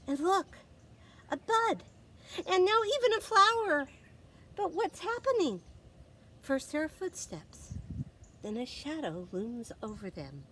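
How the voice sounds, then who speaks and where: Female storyteller for young children: higher-pitched, very expressive read-aloud, faint background noise. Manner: expressive, dramatic pauses, gasps and exclamations for effect.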